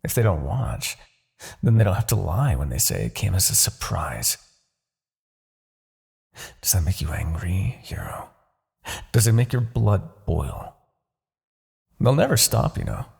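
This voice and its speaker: A low, gravelly demon king played by a man for a fantasy ASMR roleplay. He is a smug, seductive tyrant who taunts and manipulates. His delivery swings widely and theatrically, drawing out words with condescension. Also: sing-song, a little slow, long pauses, darkish tone, full character voice. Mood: impassioned, persuasive.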